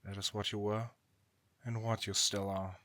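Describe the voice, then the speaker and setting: Man acting a proud, cold, low-voiced god. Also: flat delivery, a bit bright, no room sound, natural voice. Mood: scornful. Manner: formal, haughty, measured rhetoric. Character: proud, cold, disdainful male god, estranged brother. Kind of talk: scripted audio roleplay drama acting.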